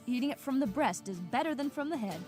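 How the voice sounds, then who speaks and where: A woman playing a nostalgic female warrior in a comic dub, reminiscing in an unhurried way. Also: high voice, animated, over music.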